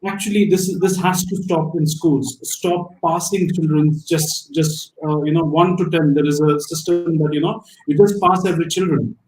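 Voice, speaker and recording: Man, slightly high voice, echoey room, halting panel answers, repeats for emphasis. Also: fairly even intonation, darkish tone, a little hiss or hum. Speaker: parent panelist. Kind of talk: unscripted panel discussion.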